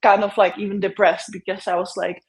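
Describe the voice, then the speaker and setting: A woman's voice: medium pitch, flat delivery, brisk. A woman from the Czech Republic with a Czech accent, a guest chatting casually in an interview, talking with lots of fillers and frequent laughs. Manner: chatty, laughs often, many fillers and restarts.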